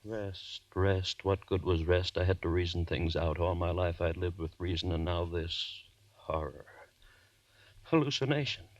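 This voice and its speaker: A man's voice: sits low, measured pace, dull audio. An actor playing a tormented, anxious university man in a melodramatic 1940s radio horror drama. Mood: brooding dread. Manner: melodramatic, brittle nervous laughter and stammered repeats. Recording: vintage 1940s radio recording.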